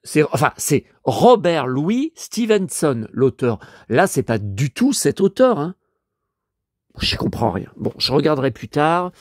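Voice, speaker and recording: Man vlogger with a cold, rambling and exasperated, very lively intonation, dry close sound. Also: fairly high pitch, a little slow, no room sound. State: stuffy head cold. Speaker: vlogger and collector. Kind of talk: casual monologue vlog.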